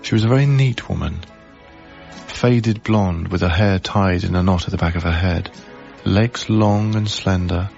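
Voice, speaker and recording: Low, gravelly, heartbroken young Englishman. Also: measured pace, a little mellow, muffled recording, faint music behind. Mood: brooding, reflective. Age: young adult. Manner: wry, reflective, reticent. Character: heartbroken, guarded young man, a wry lodger. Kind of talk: radio drama acting with intimate first-person narration.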